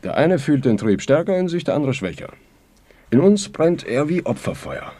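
A man's voice: fairly high pitch, big pitch swings, normal speed, dark tone. An actor playing a pompous, lecherous fascist druggist in a radio play, declaiming in slogans as he courts a young woman. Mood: fanatical.

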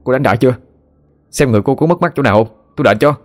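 A man voicing a sick young man who talks quickly and earnestly. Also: mid-range voice, pitched above the performer's own voice, close to an everyday voice. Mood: teasing.

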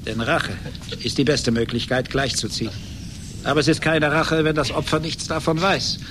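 An actor in a radio play playing a vengeful, obsessed genius inventor who speaks haltingly and theatrically. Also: male voice, fairly high pitch, steady tone, background noise, natural voice. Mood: gloating, obsessed. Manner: halting, theatrical, repeats words for emphasis.

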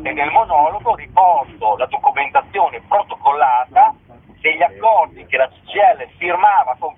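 Man, high animated voice, interview guest, spontaneous talk, narrow tinny telephone sound. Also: slightly rough. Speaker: interview guest, playwright and performer. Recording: narrow, tinny phone line.

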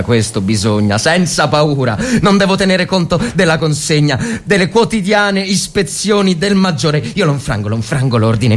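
A man playing an anguished First World War lieutenant in a dramatic first-person reading, agitated and insistent, torn between resolve and panic. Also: mid-range voice.

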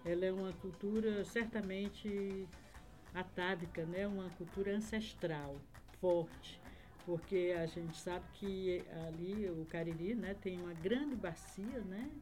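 A woman's voice: lowish voice, slow pace, a little mellow, soft background music. A woman from Acre, Brazil, a podcast guest, reminiscing in a rambling way with many fillers and tag questions. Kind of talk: casual conversation, reminiscing.

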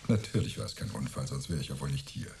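An actor playing a cocky, jovial astronaut in a radio play, talking in a chummy, mocking, theatrical way. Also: male voice, a bass voice, expressive, fast, darkish tone, slight background noise. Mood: wheedling, breezy. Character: cocky, jovial male astronaut, wheedling old war buddy.

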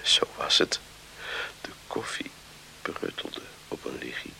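An actor playing a sleepy, musing man on a farm in a hushed radio drama, with a whispery, gravelly voice. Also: takes it slowly, loud music behind the voice, natural voice, poor audio. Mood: drowsy, musing.

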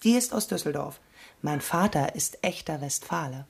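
An actress playing a composed, forthright deaconess in a radio drama. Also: female voice, low voice, animated, normal speed, gravelly, a little hiss or hum. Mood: calm, friendly.

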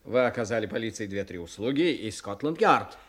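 An actor playing a self-assured, officious police inspector in a radio play, with a brisk, formal, smug manner. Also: male voice, fairly low pitch, animated, fairly bright tone. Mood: smug, condescending.

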